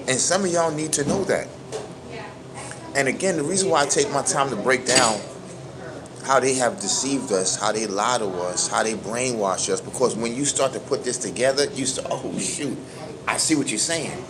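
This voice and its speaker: A man's voice: mid-range voice, moderate pace, crowd noise, poor audio. A middle-aged man from New York with a Brooklyn accent, hosting a livestream and talking in an emphatic, preachy way full of street slang. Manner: emphatic, preachy, keeps asking "you understand me?"; street slang, animated storytelling. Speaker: livestream host. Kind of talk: casual livestream monologue.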